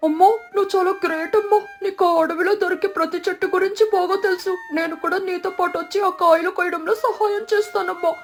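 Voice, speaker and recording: A woman's voice: very high-pitched, steady tone, fast, hardly any pauses, faint music behind. A woman voicing an admiring, eager little bird sidekick in a children's cartoon, with a gushing childlike manner. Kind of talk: Telugu children's cartoon dialogue.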